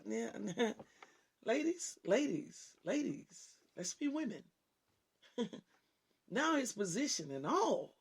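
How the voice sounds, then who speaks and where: Raspy, fervent woman preacher. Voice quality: raspy, deep-sounding voice often taken for a man's on the phone. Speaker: minister and show host. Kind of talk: impassioned preaching on a talk show.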